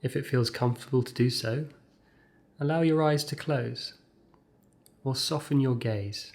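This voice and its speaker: A man guides a mindfulness exercise at a medium pitch. He gives scripted instructions in measured, short phrases. Gentle pauses separate each step of the meditation. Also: gravelly.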